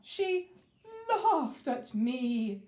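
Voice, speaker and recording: A woman's voice: very high-pitched, big pitch swings, takes it slowly, roomy sound, voice pushed up, full character voice. A woman voicing a weepy, self-pitying king who sobs and wails his words, read aloud as a storybook character. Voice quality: sobbing, wailing delivery.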